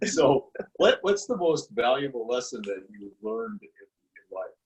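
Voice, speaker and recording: A man's voice: mid-range voice, very animated, a little slow, low-quality recording. A man from the Netherlands hosting a casual interview, chatting in a friendly way and often affirming the guest. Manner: friendly, with many "you know" fillers and frequent affirmations. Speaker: podcast host.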